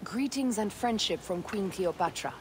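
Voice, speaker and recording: A woman's voice: medium pitch, lively intonation, moderate pace, close to an everyday voice. An actress playing a stern, determined envoy of an ancient queen in a video game, speaking firmly and formally. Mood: proud, formal. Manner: firm, formal. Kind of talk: dramatic video game acting. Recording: noisy, with boat sounds behind.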